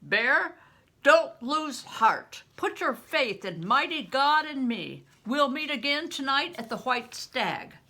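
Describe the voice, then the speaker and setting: A woman's voice: high-pitched, very animated, takes it slowly, sharp tone, voice pushed up, full character voice. A woman reading a storybook voices a fiery male rebel priest of medieval England, thundering and exhorting in a declamatory way. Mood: rousing, urgent.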